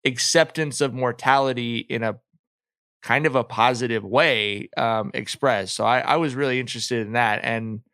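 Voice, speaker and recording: A man co-hosting a podcast, talking casually and reflectively in a halting, thinking-aloud way. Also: mid-range voice, a bit bright, clear, resonant tone, no room sound, clean studio sound. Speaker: podcast co-host. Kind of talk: casual reflective book discussion.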